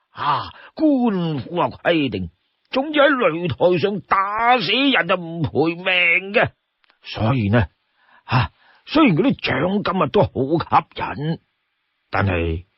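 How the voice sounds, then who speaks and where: A man voicing a talkative old townsman in Cantonese, sighing and exclaiming in awe with drawn-out emphasis. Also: a little high, breathy edge, no room sound, lo-fi sound, full character voice. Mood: rueful. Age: older. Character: talkative old male townsman, awed and gloomy storyteller. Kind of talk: dramatized Cantonese storytelling.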